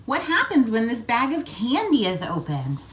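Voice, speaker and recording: A woman's voice: fairly high pitch, normal speed, slight background noise. A woman reading a children's picture book aloud, expressively, with coaxing questions and asides to young listeners. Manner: expressive storytelling, coaxing questions, acted-out sound words. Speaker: read-aloud host.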